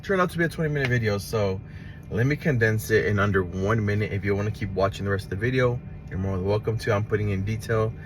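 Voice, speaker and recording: A man's voice: lowish voice, quick pace, noisy background. A man with a Mexican Spanish accent vlogging casually at a public charger, rambling and self-deprecating. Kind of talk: casual vlog to camera. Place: outdoors at a public car charger.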